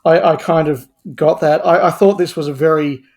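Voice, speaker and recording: A man's voice: a little high, normal speed, vocal fry, a little mellow. A man giving a casual review as a podcast guest, making articulate, thoughtful points at length.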